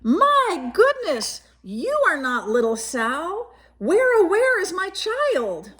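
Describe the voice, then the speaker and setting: Woman voicing picture-book mother, motherly, high pitch, lively swoops, exclaiming. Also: slow pace, heavily acted. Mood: shocked, worried. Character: busy, gentle human mother of a small girl.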